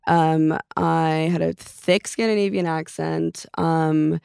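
Gravelly, smooth-voiced woman telling stories. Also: low voice, takes it slowly, dry recording, clean studio sound. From Norway. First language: Norwegian. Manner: relaxed, meandering storytelling with frequent fillers. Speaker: podcast guest. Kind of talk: casual chat.

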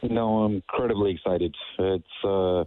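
A man's voice: low voice, fairly even intonation, normal speed, no room sound. A man from South Africa with a South African accent, a soccer coach giving an earnest phone interview, full of fillers and repeats. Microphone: phone line. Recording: tinny dry phone line.

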